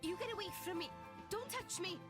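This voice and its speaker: A woman playing a feisty, sharp-tongued young girl in a video game, brash and exasperated. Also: very high voice, a little fast, over music. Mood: indignant. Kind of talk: video game cutscene acting.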